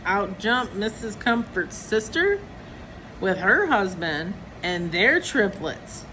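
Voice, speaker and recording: A woman reading a children's picture book aloud in a deliberate storytelling manner, acting out the characters' lines. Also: medium pitch, big pitch swings, bright, forceful tone, slight background noise, rough recording. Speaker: storybook reader.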